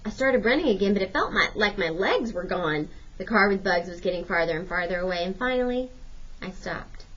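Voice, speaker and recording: A woman with a mid-pitched voice reads a storybook narrative aloud in the role of a teacher. The audio is slightly dull and lo-fi. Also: lively intonation, a little fast, a bit bright. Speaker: teacher reading a novel aloud.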